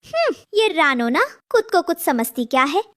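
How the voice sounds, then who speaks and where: Woman voicing a high-pitched, scheming female cartoon crow. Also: big pitch swings, normal speed, fairly bright tone, dry recording, clean studio sound. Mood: jealous, spiteful. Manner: wheedling, gossipy. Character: jealous, scheming female crow, sugary when deceiving.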